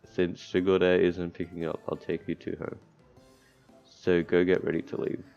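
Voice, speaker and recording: A man's voice: low voice, flat delivery, unhurried, gravelly, darkish tone. A man reading visual novel text aloud as a let's player, stumbling over words and breaking off into offhand asides. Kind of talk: gameplay commentary, reading game text aloud. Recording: dull lo-fi mic, background music. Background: game music.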